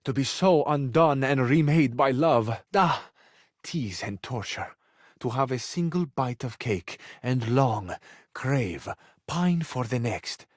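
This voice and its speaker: A man's voice: fairly low pitch, dry recording, studio quality. A man playing a gallant, lovestruck Italian romantic in a video game, speaking with an Italian accent in a flowery, poetic, courtly manner. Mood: yearning, adoring.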